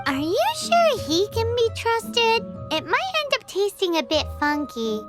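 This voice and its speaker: A woman's voice: extremely high pitch, sing-song, a little slow, full character voice. A woman voicing a tiny floating fairy companion who is bubbly, cheeky and speaks of herself in the third person, over game music. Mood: chirpy.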